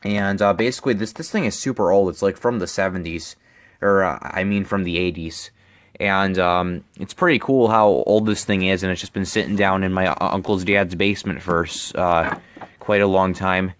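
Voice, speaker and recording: A young man with a fairly low voice presents in a casual vlog style. He speaks haltingly, doubling back on words. The sound is close and very dry, recorded in a bedroom on a handheld camera. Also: fairly even intonation, fairly bright tone, no echo. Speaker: hobbyist presenter. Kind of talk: casual product show-and-tell vlog. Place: bedroom, indoors. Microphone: handheld camera, close behind it.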